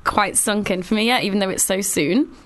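A woman's voice: mid-range voice, fairly quick, a bit bright. A woman hosting a radio show in a studio, chatting in a bubbly way and laughing easily. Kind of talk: casual chat.

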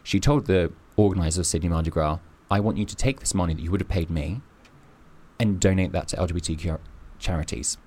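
A man with a very low voice holds forth on a podcast, building his argument point by point. He sounds emphatic and sure of his opinions as he chats casually. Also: quick pace, darkish tone. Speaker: podcast co-host.

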